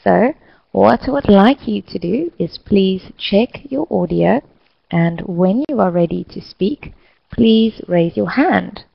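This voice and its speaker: A woman facilitating an online English lesson, speaking slowly and clearly to encourage her students. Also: medium pitch, animated, no echo, dull audio. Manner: slow, clear, encouraging teacher talk. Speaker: English teacher hosting an online class.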